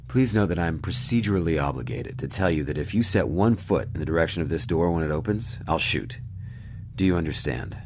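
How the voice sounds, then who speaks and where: A man playing a deep, curt security officer. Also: lively intonation, a little fast, slight background noise. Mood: warning. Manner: curt, procedural. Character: stern male corporate security officer with a gun. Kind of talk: audio drama acting.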